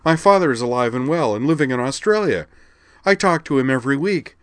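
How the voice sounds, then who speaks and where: A man's voice: mid-range voice, moderate pace, fairly bright tone, no echo, pitched above the performer's own voice, natural voice. A man reading the part of an outraged young alien woman student, snappish and indignant.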